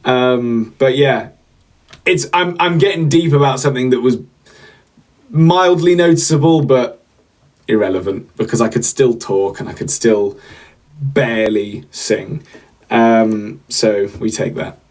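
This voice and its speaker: A man's voice: medium pitch, measured pace, darkish tone. A young man hosting a casual livestream, chatting to viewers in a rambling way with lots of fillers and repeated words. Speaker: livestream host.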